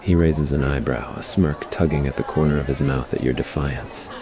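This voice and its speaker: A man's voice: rumbling low, steady tone, moderate pace, crowd noise, noisy background. A man reading scripted story narration in an ASMR roleplay style.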